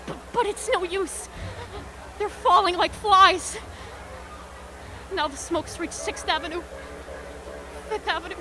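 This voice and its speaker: A woman playing a frightened radio reporter describing an alien invasion in a radio play, halting and repeating words. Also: extremely high pitch, background noise. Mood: rising panic. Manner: breathless, halting, repeats words under rising panic. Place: school auxiliary gym stage.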